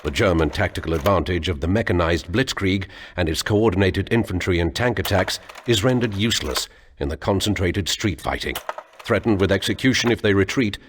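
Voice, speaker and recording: A man delivering scripted, dramatic war narration in a grave, deliberate and formal manner. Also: deep voice, animated, slight background noise. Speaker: documentary-style narrator.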